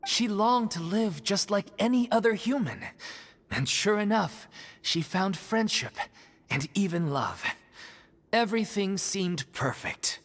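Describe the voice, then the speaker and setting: A lively theater troupe member. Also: medium pitch, unhurried. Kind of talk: game cutscene voice acting. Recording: game soundtrack music behind.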